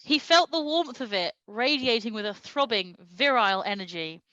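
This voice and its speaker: A woman's voice: on the high side, animated, normal speed, sharp tone, lo-fi sound. A woman doing a comedic reading of fan fiction aloud in a mock-serious manner, prone to breaking into laughter. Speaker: reader at a comedy show.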